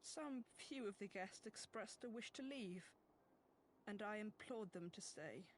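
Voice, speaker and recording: A woman voicing an apologetic, deferential servant of a lord in a video game cutscene, formal and halting as she pleads. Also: mid-range voice, lively intonation, lightly acted. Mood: anxious, apologetic. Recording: faint game audio with background noise.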